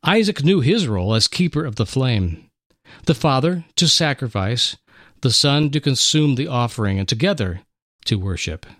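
A man narrating a dramatic story slowly and deliberately, with expressive delivery. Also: mid-range voice, dry recording, clean studio sound. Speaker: dramatic reader, radio host.

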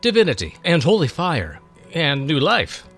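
A man's voice: fairly high pitch, wildly swooping pitch, moderate pace, fairly bright tone, slightly rough, faint music behind, voice pushed up, full character voice. A man playing an earnest young male servant, inquisitive and deferential, in a dramatic storybook reading. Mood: thoughtful, eager.

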